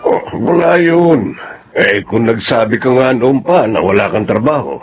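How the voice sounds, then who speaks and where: A man's voice: medium pitch, expressive, a little slow, faint music behind. A man playing a generous, fatherly older family friend in a Filipino radio drama, with a Filipino accent, on a muffled recording. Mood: warm, encouraging. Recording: muffled, faintly noisy recording.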